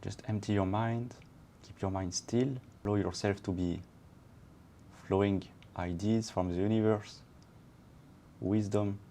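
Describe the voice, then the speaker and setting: Low calm guy, soothing meditation-leader vibe, slow even phrasing, faint hum behind. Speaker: podcast co-host. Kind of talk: guided meditation then casual chat. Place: Barcelona.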